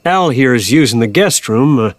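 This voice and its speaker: Man as middle-aged farmer dad, mid-pitched, hesitant, kindly, polite, expressive, audio drama. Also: moderate pace, dry recording, lightly acted. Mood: polite, reluctant.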